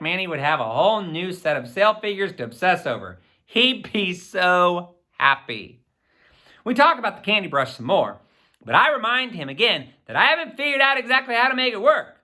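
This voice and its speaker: A man reading a children's novel aloud in the first person, with expressive, theatrical phrasing aimed at young listeners. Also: sits high. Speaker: audiobook reader.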